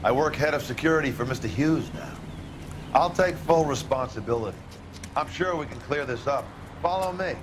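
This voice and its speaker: A man's voice: mid-range voice, expressive, moderate pace, background noise, close to an everyday voice. A man playing a brash, slippery head of security in a video game, talking in a cocky, slangy way. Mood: assured, irritated. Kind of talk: video game cutscene acting.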